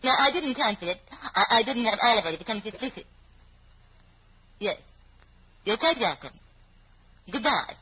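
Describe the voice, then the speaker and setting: An actress playing an anxious, evasive young wife in a halting, dramatic old radio mystery style. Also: female voice, fairly high pitch, lively intonation, bright, forceful tone, dull audio. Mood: nervous, feigned concern.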